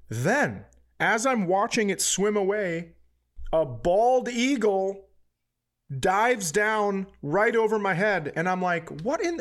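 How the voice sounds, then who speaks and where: Higher-voiced American man, riffing and swearing. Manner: profane, animated riffing. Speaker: comedy podcast co-host. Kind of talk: casual comedic storytelling.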